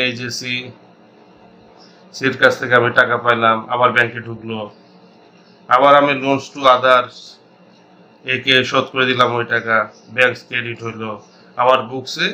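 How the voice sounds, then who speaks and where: Bright-voiced Bangladeshi man, halting tutor. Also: medium pitch. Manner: halting, thinking aloud, mixing in English accounting terms. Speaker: accounting instructor. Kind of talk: informal tutorial explanation.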